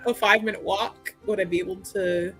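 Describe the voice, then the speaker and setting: A woman's voice: medium pitch, fairly even intonation, brisk, fairly bright tone, faint music behind. A woman chatting casually as a player in a tabletop roleplaying game. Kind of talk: casual chat.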